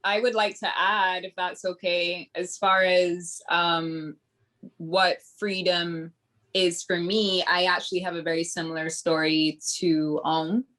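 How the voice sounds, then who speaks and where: A woman's voice: fairly low pitch, flat delivery, slow, a bit bright. A woman from Grenada with a Barbadian accent, a podcast guest talking in an earnest, reflective way with frequent ums. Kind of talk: casual interview talk.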